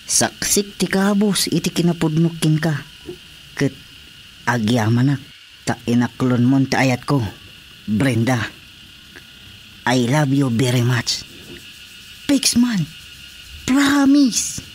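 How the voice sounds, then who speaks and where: A woman's voice: a bass voice, lively intonation, takes it slowly, pauses often, a little mellow, slight background noise, full character voice. A woman playing an earnest, romantic male village suitor in a Filipino radio drama comedy, pleading sincerely with an Ilocano accent.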